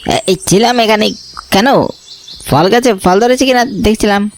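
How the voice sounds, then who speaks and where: A man's voice: high-pitched, normal speed, faint music behind. An actor playing an easygoing young man, the hero's friend, in horror cartoon dialogue. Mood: casual, puzzled.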